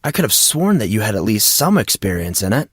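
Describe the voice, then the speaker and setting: A man acts out a teenage boy for an audiobook, an enthusiastic martial arts student. He talks in loose, slangy bro-talk with lively swings in pitch. The sound is dry and close. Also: fairly quick, breathy edge, dry recording. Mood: casually impressed. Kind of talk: audiobook character dialogue.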